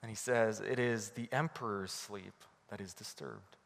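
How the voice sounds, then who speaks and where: A man's voice: on the low side, normal speed, creaky, a little hiss or hum. Canadian man preaching in a reverberant hall. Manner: storytelling, animated, laughing at own story. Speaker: pastor. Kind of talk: sermon.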